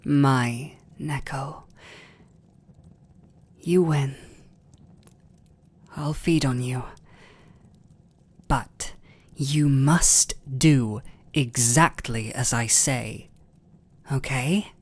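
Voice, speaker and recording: A man with a British accent playing a tender, conflicted vampire lord in love, in an intimate, breathy ASMR roleplay with formal, halting phrasing. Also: mid-range voice. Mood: yielding, hungry. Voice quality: breathy, often near a whisper.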